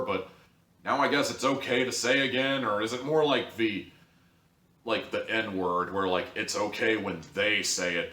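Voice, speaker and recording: A man's voice: mid-range voice, pushed and bright, recorded from a distance. A man giving a casual, opinionated review with a blunt, sarcastic manner. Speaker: online audio drama reviewer. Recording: echoey room, off mic.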